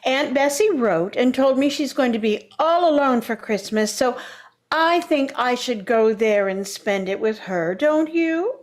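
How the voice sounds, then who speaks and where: A woman's voice: high-pitched, lively intonation, a little slow. An actress playing a condescending older mother-in-law, sugary and backhanded, in vintage radio sitcom style. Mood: sweet, plaintive.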